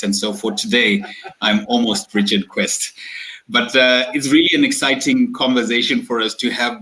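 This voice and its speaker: A man's voice: mid-range voice, a bit bright, rough recording. A man hosting an online executive interview, upbeat and chatty, with many fillers and restarts. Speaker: host. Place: at home, video call. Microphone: video call.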